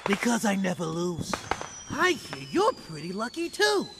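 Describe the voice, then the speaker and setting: An actor voicing a gleefully menacing cartoon rabbit mascot in a horror game, taunting with theatrical mock friendliness. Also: male voice, high voice, huge pitch swings, measured pace, gravelly, long reverb tail, very noisy, full character voice. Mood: cocky taunting. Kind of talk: horror game villain acting.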